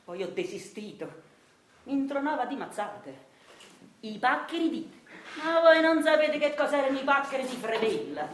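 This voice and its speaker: A woman's voice: high-pitched, big pitch swings, normal speed, echoey room, a little hiss or hum. A woman playing a meek, battered Neapolitan accountant husband, confiding plaintively and hesitantly in a radio monologue. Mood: plaintive, resigned. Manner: plaintive, hesitant, repeating himself, confiding to listeners.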